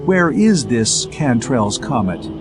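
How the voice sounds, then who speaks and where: A man's voice: mid-range voice, big pitch swings, takes it slowly, over music. A man voicing a plucky, devoted young woman space traveler in a science fiction audiobook, with questioning, teasing banter. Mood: curious.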